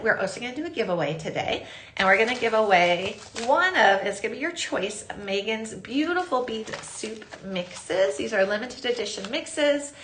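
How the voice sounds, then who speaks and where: A woman's voice: on the high side, fairly bright tone, slight background noise. Sniffly woman hosting a live craft stream. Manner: chatty, greets viewers by name.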